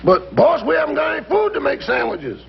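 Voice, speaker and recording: A man playing a droll, wisecracking household valet with a raspy voice in a 1950s radio comedy. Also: very high-pitched, big pitch swings, a little fast, exaggerated voice. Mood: droll complaint. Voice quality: gravelly, raspy. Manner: droll, comic complaining to his boss. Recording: very noisy dull 1950s radio broadcast.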